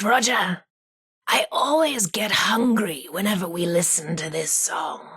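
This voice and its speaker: Casting: chirpy, coy British female radio co-host, vintage patter, breathy and slightly creaky, unhurried game role. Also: lowish voice, a bit bright, full character voice. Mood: affectionate, playful.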